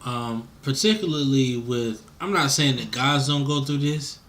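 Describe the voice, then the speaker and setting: A man's voice: medium pitch, measured pace, a bit bright. An American man interviewing in a casual, laid-back conversational way, slangy and checking in with the listener.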